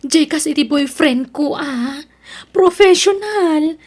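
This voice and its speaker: A woman's voice: high voice, slow pace, a little mellow. A woman playing a boastful, gossipy young woman in an audio drama, haughty and belittling, with an Ilocano accent. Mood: smug. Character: boastful, gossipy young woman proud of her professional boyfriend.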